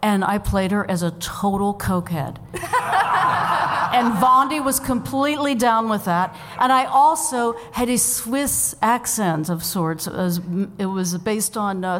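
A woman's voice: medium pitch, a little slow, long reverb tail, heavy background noise. A woman guest on a live comedy quiz show, telling stories with dry, self-mocking humor. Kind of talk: storytelling anecdotes on stage. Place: live stage before an audience.

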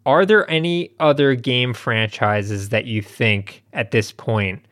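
A man's voice: mid-range voice, takes it slowly, fairly bright tone. A man hosting a podcast in a casual, conversational way. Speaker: podcast host. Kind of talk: casual podcast chat and ad read.

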